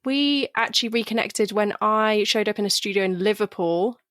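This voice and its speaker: A woman's voice: medium pitch, normal speed, fairly bright tone, dry recording. Friendly woman host, dry close sound. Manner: friendly, laughing, reminiscing. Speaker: podcast host, stylist. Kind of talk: casual chat.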